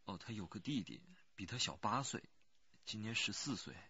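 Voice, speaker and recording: An anxious, earnest village labourer in a radio crime drama. His voice is low and husky and swings up and down as he defends himself. The sound is close, dry and a little dull. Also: sing-song, normal speed, bright, forceful tone, dry recording, exaggerated voice. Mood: cooperative, explaining. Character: anxious rural working man, murdered girl's cousin, pleading earnestly.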